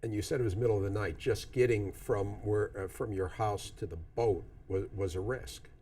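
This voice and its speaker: A man hosting a TV talk show, asking interview questions with halting restarts and fillers. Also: lowish voice, fairly even intonation, warm tone, slightly rough. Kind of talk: studio interview.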